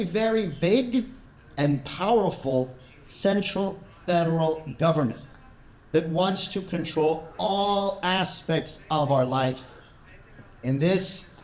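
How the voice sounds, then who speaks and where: A man's voice: fairly high pitch, darkish tone. American man explaining in an echoey room. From United States. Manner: measured, explanatory, with some fillers. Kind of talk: public talk with discussion.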